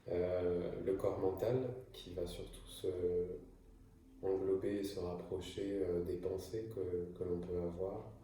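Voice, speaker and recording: A man from France gives a slow, hesitant talk full of fillers and restarts, with a low, flat voice. His tone is dark and mellow. He sits back from the microphone, and the room rings with heavy echo. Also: recorded from a distance, a little hiss or hum. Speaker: video creator. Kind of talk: improvised casual monologue. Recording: echoey room, voice from afar.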